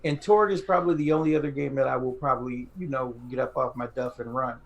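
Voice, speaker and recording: A man's voice: mid-range voice, fairly even intonation, fast talker, vocal fry, low-quality recording. A middle-aged man co-hosting a podcast, talking casually and effusively, repeating phrases for emphasis. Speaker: podcast co-host. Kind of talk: casual enthusiastic group chat.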